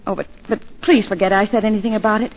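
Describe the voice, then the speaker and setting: An actress playing a forthright young upper-class English woman in a 1940s radio drama, quick and stumbling over her words. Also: mid-range voice, steady tone, close to an everyday voice. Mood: earnest. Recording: dull lo-fi 1940s radio broadcast.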